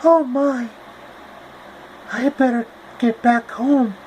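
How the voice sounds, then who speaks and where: A man's voice: very high-pitched, takes it slowly, a little mellow, slight background noise, pitched above the performer's own voice. A man voicing young Dorothy, an upbeat, eager girl in a raised, chirpy voice.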